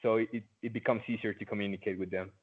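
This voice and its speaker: A man's voice: lowish voice, steady tone, fast, a little hiss or hum. A young man in his late teens from Mexico with a Mexican Spanish accent, a native Spanish speaker, answering interview questions in a loose, chatty way full of "like" fillers, heard over a video call. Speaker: interviewee, former student. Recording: tinny phone-like line.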